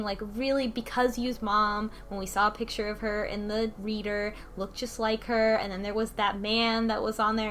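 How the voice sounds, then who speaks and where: A woman with a fairly high voice talks casually as a podcast panelist about a game's story. She speculates in an animated, loosely strung way, saying "like" often, with music playing behind her. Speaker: book club podcast panelist. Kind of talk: casual discussion of a video game story. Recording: music playing under the talk. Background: background music.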